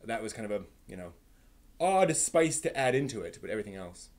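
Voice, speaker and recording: A man hosting a casual live stream, thinking aloud in a halting, rephrasing way while giving emphatic opinions. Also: fairly low pitch, big pitch swings. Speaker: livestream host. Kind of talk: casual live-stream chat, answering viewers' comments.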